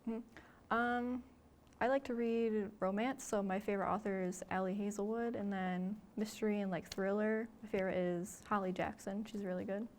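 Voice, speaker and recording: A woman's voice: medium pitch, steady tone, normal speed, slight background noise. Young college girl, medium voice, casual, lots of um and like. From Michigan. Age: young adult. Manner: casual, hesitant, with many fillers. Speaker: student journalist. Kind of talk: casual interview chat.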